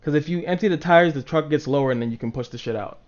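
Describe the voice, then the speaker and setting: A man's voice: a little high, fast, a little mellow. A man rambling casually to livestream viewers in an informal, sweary way, acting out reactions as he goes. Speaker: livestream host talking to chat viewers. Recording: dull, lo-fi microphone sound.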